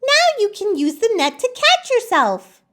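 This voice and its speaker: A woman's voice: wildly swooping pitch, measured pace, sharp tone, full character voice. A woman voicing an encouraging, helpful leaf friend in a children's storybook. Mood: eager. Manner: high-pitched, encouraging.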